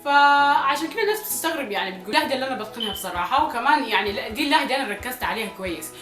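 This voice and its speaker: A young Sudanese woman vlogging casually to her viewers, speaking with a Sudanese Arabic accent with some Egyptian traces and a slightly hoarse voice, often repeating phrases for emphasis. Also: on the high side, expressive, rapid-fire, a bit bright, echoey room, faint music behind. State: slightly hoarse from recent illness. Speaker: YouTuber. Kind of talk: casual vlog talk to viewers.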